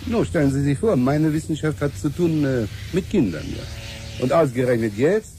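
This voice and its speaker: An actor playing an over-polite, hesitant scientist with a foreign accent and broken syntax in a 1970s German radio drama. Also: male voice, on the high side, expressive, normal speed, mellow, close to an everyday voice. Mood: hesitant, self-effacing. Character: polite, hesitant, fawning foreign scientist and small-time inventor. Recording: 1970s radio play, faint noisy ambience.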